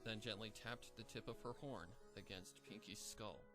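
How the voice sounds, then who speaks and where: A man with a fairly low voice narrates a horror story in a steady, measured way, letting each grisly sentence land. Quiet background music sits faintly under his reading. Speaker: fanfiction narrator.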